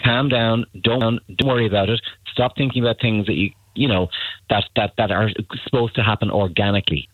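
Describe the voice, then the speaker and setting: A man's voice: fairly low pitch, fairly even intonation, fairly quick, no room sound. Blunt man on a phone line. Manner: blunt, emphatic, repeats himself. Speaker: matchmaking agency guest. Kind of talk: radio call-in advice. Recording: tinny phone line, dry.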